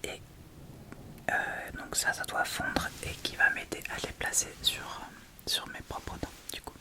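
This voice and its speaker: A woman whispering softly and informally through an ASMR makeup tutorial, with hesitant, unhurried phrasing. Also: very high voice, completely flat delivery. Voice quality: soft unvoiced whisper. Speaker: ASMR video creator.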